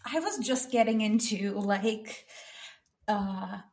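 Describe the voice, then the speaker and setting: Slow, laughing young woman, roomy sound. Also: mid-range voice. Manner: relaxed, hesitant, many ums and laughs. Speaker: podcast co-host. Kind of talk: casual chat.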